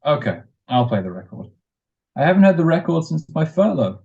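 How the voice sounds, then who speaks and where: Man acting a mellow young returned serviceman. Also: on the high side, big pitch swings, a little fast, creaky. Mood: tender. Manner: affectionate, teasing, wistful, hesitant. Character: tender, playful young returned serviceman husband. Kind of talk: 1940s radio drama acting. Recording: dull muffled audio, faint music.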